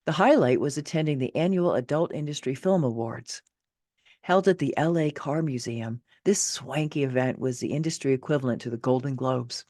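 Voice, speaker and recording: Expressive woman reading her own memoir, dry sound. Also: very deep voice, normal speed, no echo. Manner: candid, wry, conversational asides. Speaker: author and narrator.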